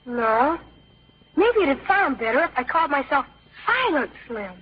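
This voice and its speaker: An earnest American schoolboy with a high voice and a stubborn streak. He speaks emphatically, in the manner of old radio drama. The recording is dull and lo-fi. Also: sing-song, measured pace, heavily acted. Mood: glum scheming. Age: child actor or young teen.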